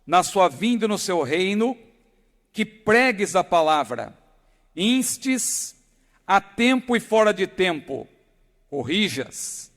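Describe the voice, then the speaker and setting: High-voiced São Paulo pastor preaching. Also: male voice, a bit bright. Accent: São Paulo Portuguese. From São Paulo, Brazil. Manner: slow emphatic reading with rising stress. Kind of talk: sermon. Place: large church hall. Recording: long hall reverb.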